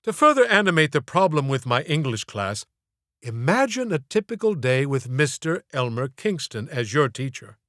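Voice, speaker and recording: A man's voice: medium pitch, sing-song, normal speed, a bit bright, dry recording, studio quality. A man narrating a comic story as an audiobook, in a theatrical, wry, mock-formal way.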